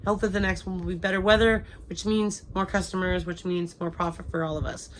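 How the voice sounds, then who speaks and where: A woman's voice: lowish voice, fairly even intonation, fast, slight background noise, rough recording. A young woman with a cold, chatting casually to her viewers with lots of ums and restarts. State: has a cold. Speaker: crochet vlogger. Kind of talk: casual chat to camera.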